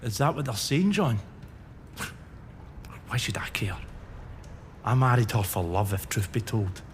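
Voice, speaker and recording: A middle-aged earl facing execution, speaking in a measured, formal and earnest way. His voice carries the long reverb of a large hall, with a faint hiss underneath. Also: lowish voice, animated. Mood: troubled. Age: 40s. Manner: earnest, formal courtroom pleading. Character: condemned Scottish earl, middle-aged, remorseful and pleading.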